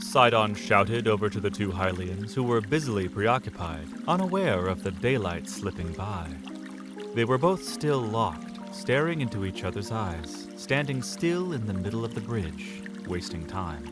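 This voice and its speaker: A male narrator with a fairly low voice and lively, swinging intonation. He delivers scripted fantasy storytelling in a dramatic style, with music clearly playing beneath him. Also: unhurried. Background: music under the voice.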